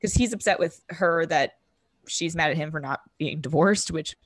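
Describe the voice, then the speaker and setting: A young woman with a booming voice, co-hosting a podcast and recapping a TV episode in casual, filler-heavy chat. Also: moderate pace, no room sound. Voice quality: booming voice that projects. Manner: chatty, full of fillers like "like" and "um". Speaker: podcast co-host.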